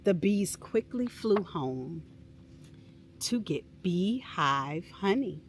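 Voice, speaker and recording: Donna espressiva, lenta, che racconta ai bambini. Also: female voice, fairly low pitch, animated, a little hiss or hum. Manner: encouraging, deliberate, addresses young listeners. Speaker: children's storytime host. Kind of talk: expressive read-aloud for preschoolers.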